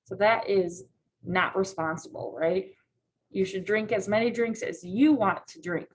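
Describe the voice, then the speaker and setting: A woman's voice: mid-range voice, measured pace, slight background noise. Teacher, woman, patient read-aloud lesson, keeps checking in with students. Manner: patient, checks in with frequent "right?" questions.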